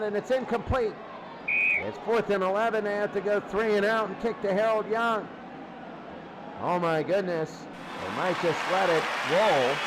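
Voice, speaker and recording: A man calling football play-by-play live in an excitable, exclamatory way, often repeating phrases. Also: sits high, very noisy. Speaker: play-by-play sports commentator. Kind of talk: live American football commentary.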